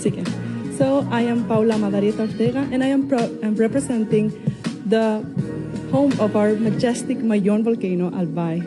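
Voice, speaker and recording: A young woman from Spain answering pageant interview questions, nervous and quick to apologise. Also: a little high, over music. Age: young adult. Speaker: beauty pageant contestant.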